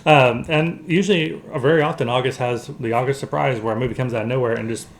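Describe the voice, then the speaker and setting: A man co-hosting a show, casually discussing movies in a halting, hedging way as he explains things. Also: mid-range voice, steady tone, creaky. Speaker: podcast co-host.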